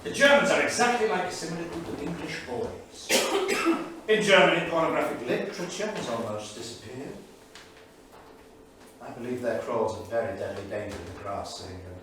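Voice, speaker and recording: A grave English intellectual of the late 1930s, played by an actor, a man reading his diary with anguish and emphasis. His mid-pitched voice is measured and theatrical, heard from far off in a very echoey studio with faint noise. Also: lightly acted. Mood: sardonic, alarmed. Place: small theatre studio, indoors.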